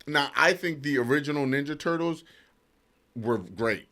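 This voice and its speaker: A man's voice: on the high side, moderate pace, pushed and bright. A man co-hosting a podcast, talking casually with the panel. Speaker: podcast co-host.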